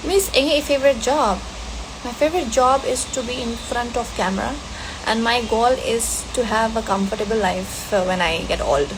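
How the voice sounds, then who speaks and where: A woman with a fairly high voice answers fan questions in a loose, unhurried chat. She switches between languages and pauses often to think. A steady hiss runs under her voice the whole time. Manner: relaxed, hesitant with fillers, mixing languages.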